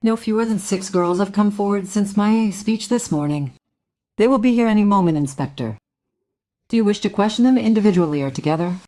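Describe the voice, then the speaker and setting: An actress playing an authoritative school headmistress in a radio drama, formal and brisk in manner. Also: female voice, medium pitch, lively intonation, mellow, natural voice. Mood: businesslike.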